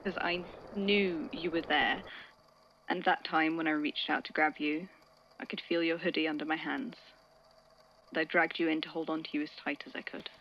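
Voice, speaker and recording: A woman playing a shaken, devoted friend in an audio drama, speaking in a halting, hushed, earnest way. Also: low voice, steady tone, dull audio. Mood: tender, shaken. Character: Grian, shaken devoted friend recounting a rescue. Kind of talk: intimate dramatic monologue in audio fiction.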